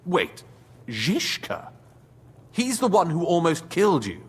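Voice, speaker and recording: Sardonic, canny medieval lord for a game drama; slow, deliberate, theatrical, widely varied intonation. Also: male voice, a little high, huge pitch swings, slightly rough, exaggerated voice. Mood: wry, surprised. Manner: wry, theatrical. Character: wry, shrewd male medieval nobleman in hiding. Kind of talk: dramatic video game dialogue.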